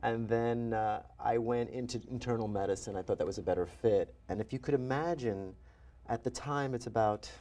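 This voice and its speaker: A man in his forties from New York, a physician and author, talking in an animated, self-deprecating way as an interview guest. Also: mid-range voice, normal speed. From New York, USA. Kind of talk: interview conversation.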